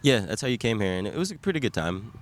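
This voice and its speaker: A young man in his twenties hosts a casual podcast from a park bench, his voice sitting low and dark. He teases his guest with a dry, deadpan humor. A faint wash of outdoor noise sits underneath him. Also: quick pace. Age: 20s. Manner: playful, teasing deadpan. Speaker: podcast host. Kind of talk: casual chat.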